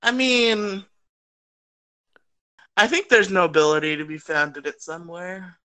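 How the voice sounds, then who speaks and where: A man's voice: high voice, a little slow, pushed and bright, clean tone. A young man co-hosting a movie review podcast from his bedroom, laughing often and protesting in mock outrage. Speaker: podcast co-host. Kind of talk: casual podcast banter. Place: home bedroom.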